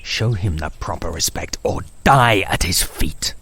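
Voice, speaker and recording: A man's voice: sits low, measured pace, bright, forceful tone, slightly rough, dry recording, full character voice. An actor playing a fanatical male cultist henchman who snarls threats and insults. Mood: snarling. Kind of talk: audio drama acting. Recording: music under the voice. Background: music.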